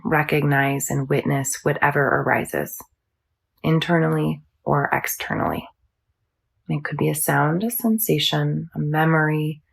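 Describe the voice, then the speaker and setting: A woman teaching yoga nidra, guiding a meditation in a soothing, measured, instructional manner. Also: deep voice, creaky, husky. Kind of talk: guided meditation.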